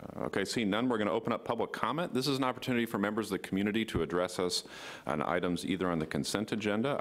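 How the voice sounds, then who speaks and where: A man in his forties chairing a public board meeting from a desk microphone, brisk and procedural. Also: sits low. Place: large county board chamber.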